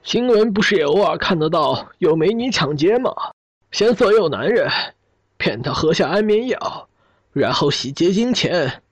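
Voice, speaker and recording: An actor playing a flippant, animated man in an audio drama, with a husky voice. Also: high-pitched, moderate pace, dry recording, faint music behind. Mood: flippant, sly.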